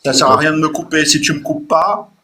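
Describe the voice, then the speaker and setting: A man's voice: on the high side, steady tone, brisk, pushed and bright. A man from Portugal in a heated live political argument, ranting and combative, repeatedly demanding not to be interrupted. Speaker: live debate participant.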